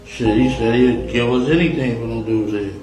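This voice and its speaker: A man's voice: medium pitch, moderate pace, over music. A man being interviewed, giving short, casual, slangy answers with some swearing. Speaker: interviewee recounting events. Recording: roomy echo.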